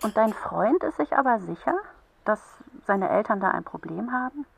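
Patient female helpline counsellor, husky, creaky voice, gently explaining, encouraging, children's radio play. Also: mid-range voice, animated, moderate pace, full character voice, rough recording. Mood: gentle, encouraging.

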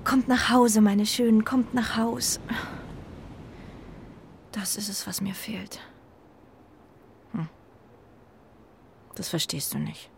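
A homesick pigeon in a children's radio play. The voice is dreamy and hesitant, loyal and a little stubborn, with clear ambient noise around it. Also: female voice, mid-range voice, takes it slowly, long pauses. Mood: longing, excited, then sad, hesitant.

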